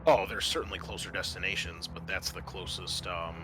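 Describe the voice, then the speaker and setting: A man narrating a tabletop roleplaying game as its game master, halting as he thinks aloud. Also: low voice, fairly even intonation, music in the background.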